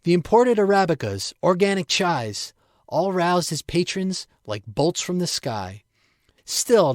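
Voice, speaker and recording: A man's voice: a little high, dry recording, studio quality. A man reciting his own playful rhymed poem. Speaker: poet reading own work.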